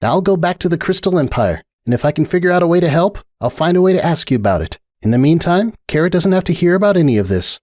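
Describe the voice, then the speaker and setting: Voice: medium pitch, animated, fast, few pauses, darkish tone, dry recording, clean studio sound, pitched above the performer's own voice, natural voice. A performer voicing an indignant young male pony who protests earnestly and pleads. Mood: resigned, earnest.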